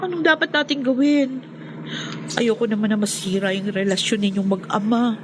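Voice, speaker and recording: An actress playing an apologetic, anxious young daughter in a radio drama, speaking meekly and hesitantly. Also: female voice, a little high, normal speed, noisy background, natural voice. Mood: apologetic, worried.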